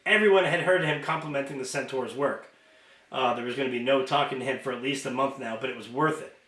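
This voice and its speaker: A man reading a novel aloud as an amateur narrator, expressive and informal, sometimes stumbling and correcting himself. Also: mid-range voice, quick pace. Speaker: amateur audiobook reader. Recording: roomy, echoing home recording.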